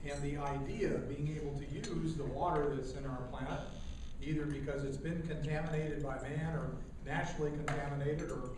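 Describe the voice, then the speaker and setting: A man with a slightly raised pitch introduces a guest speaker at a club meeting, sometimes halting and repeating himself. The sound comes from far off in a very echoey room with noise behind him. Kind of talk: speech and introduction.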